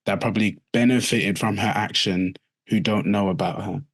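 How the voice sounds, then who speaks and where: Deep-voiced British man interviewing. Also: steady tone, normal speed. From United Kingdom. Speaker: podcast host, interviewer. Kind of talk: casual conversational interviewing.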